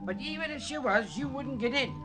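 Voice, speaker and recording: Pudgy theater doorman, very high pitch, stubborn, curt, bossy, old radio play, music in background. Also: expressive, brisk. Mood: stern, dismissive. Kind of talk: 1940s radio drama acting.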